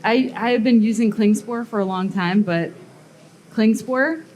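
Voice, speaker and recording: A woman explains her metalworking steps to an onlooking crowd in a workshop. She talks casually and thinks out loud, trailing off and restarting sentences as she works. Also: on the high side, unhurried, lo-fi sound, slight background noise. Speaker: blacksmith and sculptor giving a live demo. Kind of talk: live workshop demonstration to an audience. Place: metal workshop at a smithing event.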